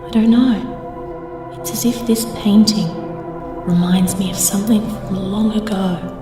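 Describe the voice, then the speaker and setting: Music plays behind a woman acting as a dreamy, idealistic young woman in an audio drama. Her mid-pitched voice is earnest and wistful, sounding set back in a reverberant hall. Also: steady tone, unhurried, far from the mic, close to an everyday voice. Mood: wistful, awed. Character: dreamy, trusting, idealistic young woman who loves art.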